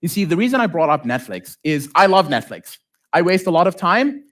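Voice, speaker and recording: Animated, higher-pitched man giving a tech talk. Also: a little fast. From lives in South Africa. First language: Arabic. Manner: informal, joking asides, rhetorical check-ins. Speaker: conference speaker, software developer. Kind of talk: conference talk to an audience.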